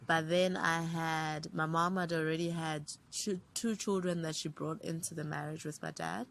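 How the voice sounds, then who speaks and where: A woman in her thirties from Zambia speaks in a low register with a gravelly, fry-edged texture. She is a guest in a relaxed interview, reflective, and strings long lists of names together as she remembers. Her delivery stays unhurried and conversational. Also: a little hiss or hum. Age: 30s. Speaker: interview guest, writer and producer.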